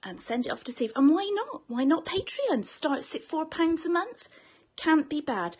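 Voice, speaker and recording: A woman's voice: sits high, sing-song, moderate pace, darkish tone. A middle-aged woman recording a cheeky, chatty video greeting on her phone indoors. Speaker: patron sending a video greeting. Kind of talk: selfie video message. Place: indoor room by a window. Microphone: phone held close at arm's length.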